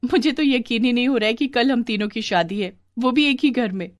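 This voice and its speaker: A woman voicing a dramatic, excitable young bride-to-be in a cartoon family story. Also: on the high side, a little fast, no echo, studio quality, voice pushed up, natural voice. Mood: excited. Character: excited, giggly young bride-to-be, grumbling about relatives. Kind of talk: animated Hindi family story acting.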